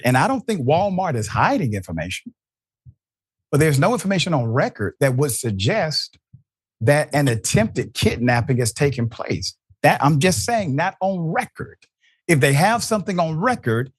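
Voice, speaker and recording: A man's voice: on the high side, very animated, moderate pace, husky, no echo, clean studio sound. A man hosting a news commentary show, reading reports and then arguing his view with rising emphasis. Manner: emphatic, rising to stress points. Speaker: host.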